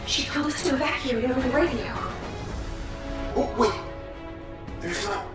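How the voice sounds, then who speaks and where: A woman's voice: sits high, expressive, unhurried. An actress playing an uneasy, hesitant woman evacuee in a video game cutscene. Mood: anxious. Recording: very echoey, distant, loud music.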